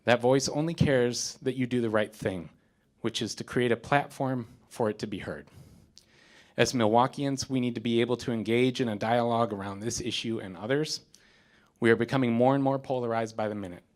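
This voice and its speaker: A man in his thirties speaks as a community responder after a stage performance. He reads from notes in a theater, halting with fillers at first and building to firm declarations. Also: medium pitch. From United States. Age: 30s. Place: theater, in front of an audience.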